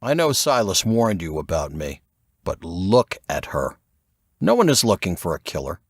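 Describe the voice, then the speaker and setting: A grave, assured investigator from an audiobook voiced by a male narrator explains things in a measured, didactic way. His voice sits fairly low with varied intonation, in a dry recording. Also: big pitch swings, slightly rough, dry recording, full character voice. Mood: grave, somber. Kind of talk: audiobook character dialogue.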